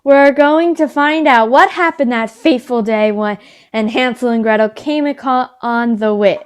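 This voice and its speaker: A woman reading the part of a brisk reality TV host in readers theater. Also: a little high, clean tone, natural voice. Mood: presiding.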